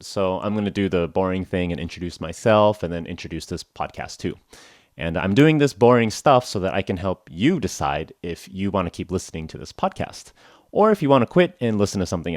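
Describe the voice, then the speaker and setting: A man's voice: low-pitched, dry recording, clean studio sound. A young American man hosting a podcast for English learners, talking casually with lots of "uh" and "like" and self-deprecating jokes. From United States (Oklahoma). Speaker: podcast host.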